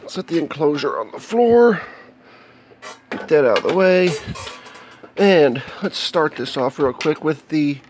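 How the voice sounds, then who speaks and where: An American man talking casually and off the cuff as he shows electronics, explaining in a halting, plain-spoken way. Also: fairly high pitch, slight background noise. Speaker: hobbyist video maker showing electronics. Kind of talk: casual unscripted show-and-tell. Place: indoors, cluttered home workshop.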